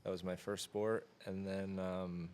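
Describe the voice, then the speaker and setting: A man in his thirties from the Florida Keys, talking casually as an interview guest in a relaxed, rambling way with many fillers. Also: low-pitched, measured pace, smooth. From Florida Keys, USA. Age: 30s. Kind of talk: casual conversation.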